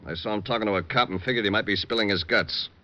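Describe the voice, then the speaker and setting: Menacing, low-voiced radio gangster. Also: male voice, fast, bright, forceful tone. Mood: impatient, threatening. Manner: terse, tough, slangy threats. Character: menacing, impatient male gangster with a gun. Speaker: actor playing the villain. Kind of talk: old-time radio crime acting. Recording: dull, noisy old radio recording.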